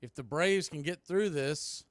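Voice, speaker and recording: A man calling a baseball game play by play, rising to excited calls on big plays. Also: fairly high pitch, measured pace, no room sound, studio quality. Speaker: play-by-play announcer.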